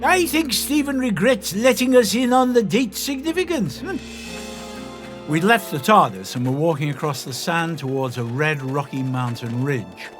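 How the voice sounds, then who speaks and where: A male actor plays an earnest space traveller recounting his adventure. The voice is fairly high and very expressive, in dramatic storytelling style, with music clearly behind it. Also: normal speed, lightly acted. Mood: tense. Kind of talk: dramatic first-person audiobook narration.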